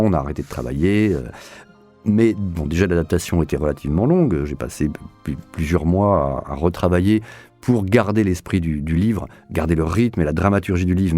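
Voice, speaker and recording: A man's voice: deep voice, dark tone. A man talking reflectively in an interview as a director, explaining and rephrasing with repeats for emphasis. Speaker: audio drama director being interviewed.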